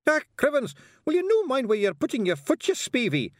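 A man voicing tiny, quarrelsome pixie men with Scottish accents, gruff and cussing. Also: very high voice, huge pitch swings, brisk, no echo, clean studio sound, voice pushed up, exaggerated voice. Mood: squabbling. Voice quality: gruff, shouty, coarse cussing.

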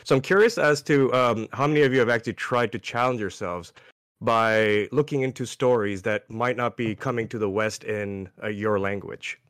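A man of Pakistani origin calling in to ask a panel a question, halting and hesitant with many fillers. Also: lowish voice, a bit bright, smooth, no room sound, clean studio sound. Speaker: caller asking a question.

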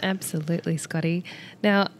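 An Australian woman co-hosting a casual food podcast. She has a cold, so she sounds nasal, and she talks in a relaxed way while explaining food facts. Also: lowish voice, moderate pace, a little hiss or hum. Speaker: podcast co-host. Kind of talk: casual chat.

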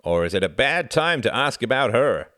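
A man's voice: medium pitch, sing-song, moderate pace, a bit bright, no room sound, clean studio sound, pitched above the performer's own voice. A man voicing a jovial, teasing young British diplomat in a storybook, with a hearty, exclamatory and persuasive manner. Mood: booming, teasing.

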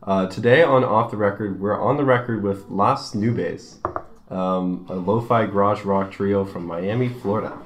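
A young man hosting a casual band interview, relaxed and hesitant. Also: on the low side, a little slow. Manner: relaxed, hesitant, uses y'all. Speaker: interview host.

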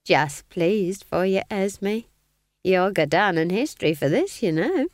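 A woman voicing a sly, cheerful elderly witch who answers with feigned innocence and a drawn-out, teasing delivery. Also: mid-range voice, animated, no room sound, clean studio sound, close to an everyday voice.

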